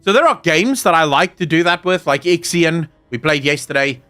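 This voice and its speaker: Cursing South African streamer, slightly high voice. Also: male voice, expressive, moderate pace, fairly bright tone, faint music behind. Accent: South African, Afrikaans. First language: Afrikaans. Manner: profane, ranting and joking. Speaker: live streamer. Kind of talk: casual live-stream chat, answering chat.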